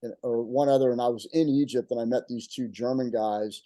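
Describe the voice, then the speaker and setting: A man's voice: mid-range voice, fairly even intonation, fairly quick, warm tone, no room sound. A man in his sixties from Texas, telling running stories in a rambling, stop-start way. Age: 60s. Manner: rambling, stop-start storytelling with frequent restarts. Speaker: guest ultrarunner. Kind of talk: casual chat.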